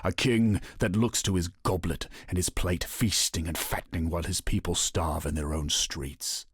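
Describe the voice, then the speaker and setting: A man playing a grave, defiant rebel leader of an enslaved people in a video game, speaking in a solemn, measured, oratorical way with a rough, husky voice. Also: low voice, no room sound, clean studio sound. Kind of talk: scripted video game voice acting.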